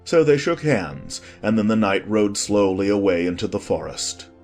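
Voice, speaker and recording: Low-voiced man narrating a storybook. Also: expressive, normal speed, darkish tone, soft background music.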